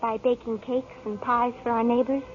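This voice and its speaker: An actress playing a spoiled, manipulative teenage daughter in a 1940s radio drama, theatrical and petulant. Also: female voice, on the high side, flat delivery, normal speed, close to an everyday voice. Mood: scheming, petulant. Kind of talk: 1940s radio acting, film noir drama. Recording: muffled, noisy 1940s radio broadcast.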